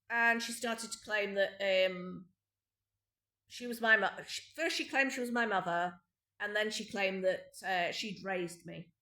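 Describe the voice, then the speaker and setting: A woman's voice: medium pitch, pushed and bright. A middle-aged British woman in an unscripted monologue at home, rambling and aggrieved, with many restarts and ums. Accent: British English. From Britain. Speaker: vlogger. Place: home indoors. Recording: echoey room.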